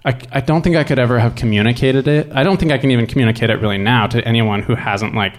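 A man telling a personal story casually, in a halting, reflective, conversational way peppered with filler words. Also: medium pitch. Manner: halting, reflective, conversational with frequent 'like' and swearing. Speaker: guest storyteller.

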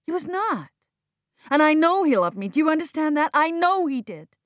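A woman's voice: sits high, very animated, moderate pace, no room sound, voice pushed up. Audiobook lady as a grieving strong-willed woman, emphatic, reproachful, fiercely loyal, dry close recording. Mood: defensive, impassioned.

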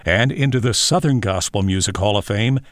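A man's voice: fairly low pitch, fairly quick, no room sound, studio quality. A man reading a scripted biographical narration as a narrator.

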